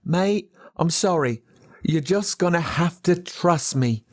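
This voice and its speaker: A man's voice: fairly high pitch, lively intonation, slow pace, exaggerated voice. An Australian man voicing a frightened, scrappy young boy in a storybook, speaking with urgent pleading. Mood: pleading, apologetic.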